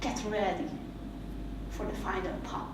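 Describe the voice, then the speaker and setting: A woman with a Hungarian accent, a native Hungarian speaker, giving a reflective spoken essay in a slow, measured, heartfelt way. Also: medium pitch, steady tone, very noisy. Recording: very echoey room, mic at a distance.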